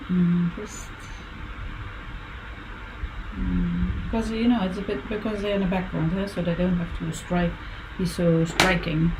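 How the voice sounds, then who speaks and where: A woman with a Dutch accent talks to her viewers as she works on a drawing. She speaks slowly in a slightly low voice, pausing and correcting herself as she thinks aloud. Steady background noise sits under her voice. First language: Limburgish. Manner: halting, musing aloud, with self-corrections and soft laughs. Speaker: artist. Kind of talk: casual talk while drawing.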